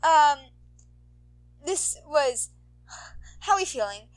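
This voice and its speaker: A woman's voice: sits high, takes it slowly, low-quality recording. A woman hosting her fan-fiction readings, chatting casually to listeners in a gushing, rambling, slangy way, peppered with "like". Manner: gushing, excitable, slangy, frequent "like". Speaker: podfic reader and host.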